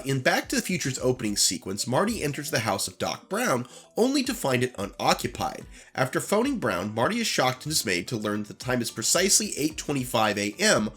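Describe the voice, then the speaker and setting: Male YouTube host, stuffy and nasal from flu, animated pitch, earnest scripted narration. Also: breathy edge. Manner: earnest, opinionated asides between read passages. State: recovering from flu. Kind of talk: reading a scripted commentary on lost media.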